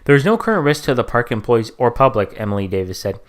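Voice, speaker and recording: A man's voice: mid-range voice, sing-song, fast talker. A man co-hosting a casual podcast, getting worked up and swearing as he talks. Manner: gets worked up, swears when ranting. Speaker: podcast co-host.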